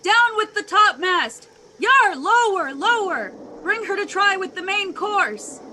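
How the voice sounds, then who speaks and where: A woman's voice: very high-pitched, very animated, takes it slowly, pushed and bright, full character voice. A woman playing a frantic, commanding boatswain, declaiming Shakespeare urgently in a theatrical storm scene. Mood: barking orders.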